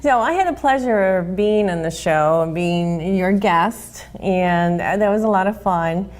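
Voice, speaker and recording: A woman's voice: lowish voice, measured pace. Affirming American woman hosting an interview. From United States. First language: Spanish. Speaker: interview host. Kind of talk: conversational interview.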